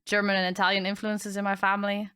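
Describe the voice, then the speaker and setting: A woman's voice: medium pitch, monotone, fast talker, a bit bright, smooth, no room sound, studio quality. A woman from the Netherlands with a Dutch-influenced English accent, chatting as a podcast guest with many fillers and easy laughter. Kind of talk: casual chat.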